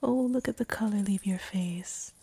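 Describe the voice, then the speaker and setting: A woman playing a seductive, teasing, slightly sadistic vampire captor in an intimate audio roleplay, speaking in a breathy whisper close to the microphone. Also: mid-range voice, mellow, dry recording. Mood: teasing, menacing. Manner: slow, teasing, predatory purr. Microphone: close microphone, dry.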